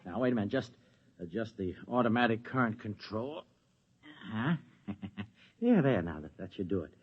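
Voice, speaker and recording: A man's voice: on the low side, big pitch swings, normal speed, breathy edge. An American man acting in an old-time radio drama as an eager, henpecked husband who tinkers with kits, stammering and hesitant as he talks. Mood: eager, busy. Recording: dull vintage radio recording.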